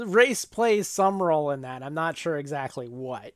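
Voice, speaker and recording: A man co-hosting a casual film discussion podcast, explaining things in a halting way. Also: on the high side, animated, no room sound. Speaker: podcast co-host.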